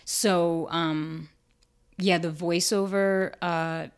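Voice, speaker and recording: A woman with a raspy, fairly deep voice, talking candidly and chattily as an actor guest in an interview, with many fillers. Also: fairly even intonation, drawn-out words. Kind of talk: casual interview chat.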